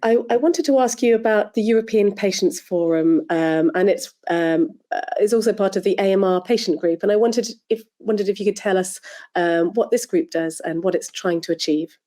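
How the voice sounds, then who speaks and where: A woman's voice: medium pitch, moderate pace, dark tone. A woman hosting an online interview, asking conversational, sympathetic questions.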